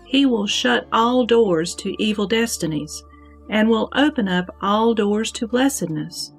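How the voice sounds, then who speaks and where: Slow, reverent woman as a devout deity. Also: medium pitch, expressive, gravelly, slightly rough, exaggerated voice. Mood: reverent, earnest. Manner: solemn, measured, reverent. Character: Sakra, devout deity and protector pledging to the Buddha. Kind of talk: scripted sutra recitation in audio drama. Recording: music bed under the voice.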